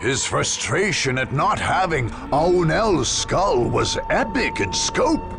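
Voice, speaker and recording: A grave game narrator telling a war saga. The delivery is slow and dramatic, with big swings in pitch and a gravelly edge, over music. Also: male voice, very animated, full character voice. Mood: grave storytelling. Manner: measured, ominous storytelling. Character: grave male chronicler of a grim war saga.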